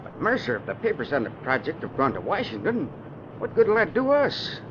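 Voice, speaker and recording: An actor playing an anxious, skeptical crooked lawyer in old-time radio style. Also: male voice, fairly high pitch, wildly swooping pitch, a little fast. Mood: doubtful. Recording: noisy, dull vintage radio recording.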